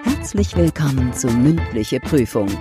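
Female announcer, extremely low pitch, scripted show intro over a music bed. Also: big pitch swings, measured pace.

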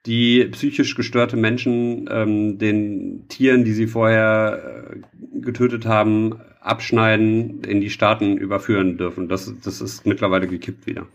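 Male podcast co-host, slightly low voice. Ironic, joking, loose conversational banter. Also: little pitch movement, a little slow, gravelly, highs cut off. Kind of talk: casual humorous podcast banter.

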